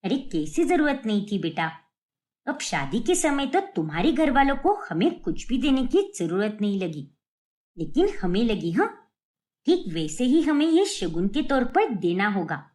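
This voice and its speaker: A woman's voice: on the high side, sing-song, a little slow, a little mellow. A woman voicing a nagging, sarcastic middle-aged mother-in-law with a taunting, grumbling manner in a cartoon story.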